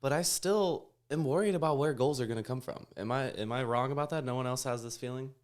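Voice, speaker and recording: A man's voice: medium pitch, quick pace. An American man speaking casually as a panelist in a sports discussion. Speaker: podcast panelist.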